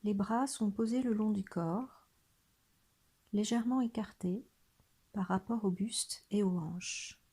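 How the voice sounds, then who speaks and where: A woman guiding a body scan meditation in a soft, breathy, near-whispered voice, gentle and soothing, leaving long pauses. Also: medium pitch. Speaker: meditation guide.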